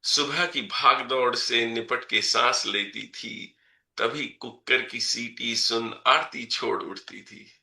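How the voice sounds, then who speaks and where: A man recites his poetry at an unhurried pace, going over each couplet twice and stopping for short asides to his listeners. His voice is bright and forceful. The sound is roomy and echoey, as on a video call. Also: mid-range voice, fairly even intonation, vocal fry. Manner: recites each couplet twice, adds brief explanations between verses. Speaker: poet reciting at an online poetry gathering.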